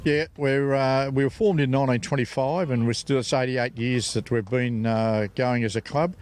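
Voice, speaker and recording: An older Australian man giving halting, unscripted answers as a club representative in an interview. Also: medium pitch, steady tone, a little mellow.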